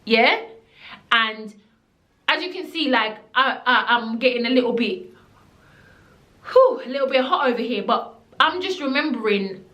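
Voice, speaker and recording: A young woman with a Jamaican accent tells her story straight and emphatically, dropping into patois now and then. Her voice sits fairly high, and the room around her adds a clear echo. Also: expressive, a little slow, pauses often, bright, forceful tone. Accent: Jamaican, living in the UK. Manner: blunt, emphatic, slipping into patois. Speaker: vlogger. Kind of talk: storytime vlog.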